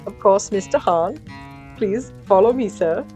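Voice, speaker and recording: A woman's voice: medium pitch, unhurried, dark tone, soft background music, natural voice. Woman acting giddy, eager male elf guide. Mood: eager, polite. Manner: prim, chirpy, turns imperious.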